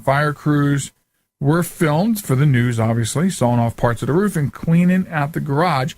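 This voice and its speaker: A man's voice: a little high, moderate pace, a little mellow, no room sound. A man hosting a radio show, talking casually and informally in a folksy, conversational way as he gives his commentary.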